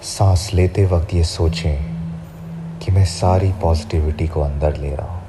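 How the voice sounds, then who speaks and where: A man guiding listeners through a sleep hypnosis session, speaking slowly and softly to soothe them. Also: low-pitched, steady tone, very noisy. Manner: slow, soft, soothing guidance. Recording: music playing behind the voice.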